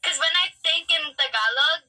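A young woman from Canada hosts a live video stream in a high, bright voice. She is upbeat and quick and often restarts her sentences. She sounds a bit far from the mic, with faint music behind her. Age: young adult. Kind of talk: casual chat. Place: indoor room, live stream video call. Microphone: phone video call.

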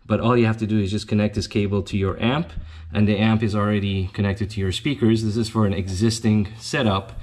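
A man's voice: fairly low pitch, fairly even intonation, a little fast, darkish tone. A man giving an informal step-by-step product demonstration, explaining an installation plainly as a company representative. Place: indoors at a tabletop demonstration bench.

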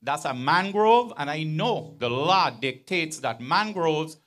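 Resident man, fairly high voice, earnest town hall question, handheld mic. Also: expressive, slow, a bit bright. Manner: earnest, building to pointed emphasis. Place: large outdoor venue with audience.

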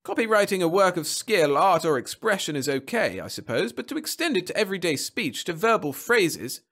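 A man voicing an excitable, smug bureau official with an emphatic manner in a science fiction story. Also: on the high side, moderate pace, voice pushed up. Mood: outraged, ranting. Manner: effusive, emphatic.

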